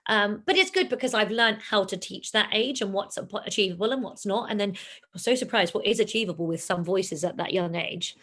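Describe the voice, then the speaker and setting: A woman talking casually as an interview guest, her voice sitting at an ordinary middle pitch. She speaks in an animated way and slips into little quoted voices for her young pupils. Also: a little fast. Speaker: singing teacher and guest.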